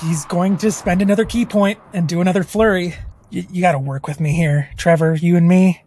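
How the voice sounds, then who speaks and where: High-voiced man describing punches. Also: fairly quick, no room sound. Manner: excited, energetic action descriptions. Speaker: player. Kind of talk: playing a monk at a tabletop game.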